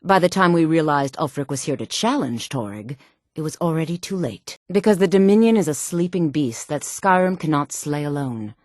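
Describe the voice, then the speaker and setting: A self-assured, sultry woman from a fantasy video game. Her voice sits low and is recorded very dry. She speaks with measured poise and a haughty edge, like someone used to being obeyed. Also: lively intonation, darkish tone, no room sound. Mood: grave, regretful. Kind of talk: video game character dialogue.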